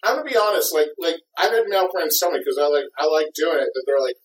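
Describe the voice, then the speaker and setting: A man's voice: fairly high pitch, expressive, quick pace. A man ranting casually and comedically in a crude, emphatic way, swearing freely. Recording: roomy, distant mic, faint background noise.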